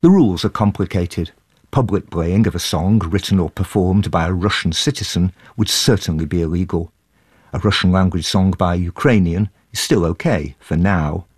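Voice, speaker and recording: A man's voice: deep voice, darkish tone, breathy edge, dry recording, clean studio sound. A male radio reporter reading scripted narration and putting short questions to people in the field. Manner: measured, reads narration then asks short pointed questions.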